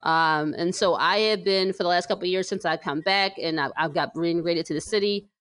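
A woman's voice: fairly low pitch, fairly quick, a bit bright, dry recording. American woman hosting a podcast, dry sound. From New Jersey, United States. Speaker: podcast co-host. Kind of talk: casual chatty podcast introduction.

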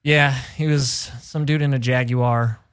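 A man with a light, youthful-sounding voice chats casually as a podcast host. He tells stories with jokes and laughs along the way, at a mid pitch. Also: steady tone, unhurried, clean tone. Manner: jokey, laughs often, storytelling. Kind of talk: casual chat.

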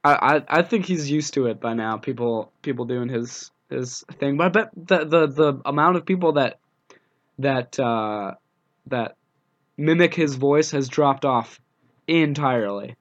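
A young man with a scratchy, cold-roughened voice talks casually as a podcast co-host. His humor is dry and deadpan, and his voice sits at a middling pitch. He comes through a dull, muffled phone line. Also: unhurried. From United States. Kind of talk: casual chat.

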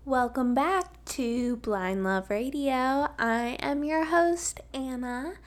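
Woman podcast host with a cold, kinda high voice, slow and chatty, lots of likes and ums. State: has a cold. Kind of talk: casual podcast chat.

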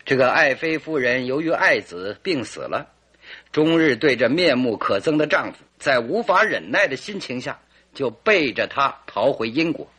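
A confident detective in an old-fashioned radio drama. His bright voice swings widely in pitch, with a rough edge, as he lays out deductions and poses probing questions like a storyteller. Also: lively intonation, moderate pace. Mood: explaining, probing. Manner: theatrical, leisurely deductive storytelling with rhetorical questions.

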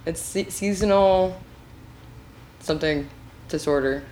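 A woman's voice: fairly low pitch, slow, darkish tone, rough recording. A woman from Washington state co-hosting a podcast, telling stories in chatty casual talk full of "like" fillers. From Washington state, USA. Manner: chatty, filler-heavy storytelling. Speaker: podcast co-host. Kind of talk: casual chat.

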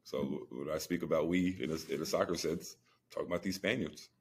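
A man with a very deep voice talks quickly as a podcast co-host. His sports chat is casual and slangy, full of repeated words for emphasis. There is some background noise on the recording.

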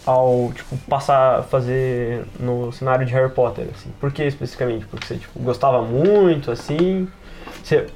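A man's voice: medium pitch, fairly even intonation, normal speed, darkish tone, slight background noise. A man with a Brazilian accent chatting casually and informally as a podcast host, full of slang and fillers. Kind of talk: casual podcast chat about tabletop RPGs.

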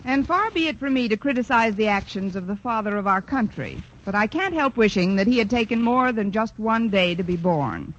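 A woman's voice: mid-range voice, lively intonation, brisk, hardly any pauses, lightly acted. An actress playing a witty, wisecracking female English teacher in a 1940s radio sitcom, narrating and trading dry quips. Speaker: schoolteacher lead. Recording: dull old radio broadcast with loud hiss.

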